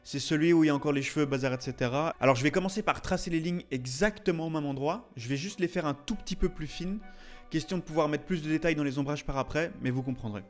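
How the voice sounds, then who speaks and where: A man's voice: on the high side, quick pace. A chatty man hosting a video with jokey, casual commentary and theatrical, mock-indignant asides. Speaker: YouTube host, tattoo artist. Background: music behind the voice.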